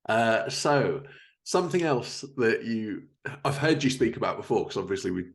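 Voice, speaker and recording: A man's voice: on the low side, animated, moderate pace. A man hosting a casual podcast conversation about his work, speaking as a writer. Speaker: podcast host and fiction writer.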